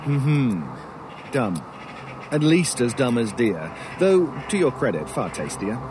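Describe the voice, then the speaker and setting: A snooty talking crow for an audio drama, super polite and macabre, wordy, lots of outdoor noise and cawing behind. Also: male voice, mid-range voice, very animated, takes it slowly. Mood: fastidious, amused. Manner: wordy, pompous, mockingly polite. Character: urbane, sardonic male talking crow, gleefully macabre scavenger. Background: outdoor ambience, crows, vehicles.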